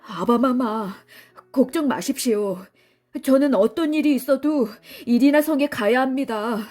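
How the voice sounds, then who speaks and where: A woman's voice: high voice, measured pace, a little mellow, faint music behind, pitched above the performer's own voice. A woman voicing an earnest, lovestruck young prince in a storybook, polite and formal in manner. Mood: earnest, determined.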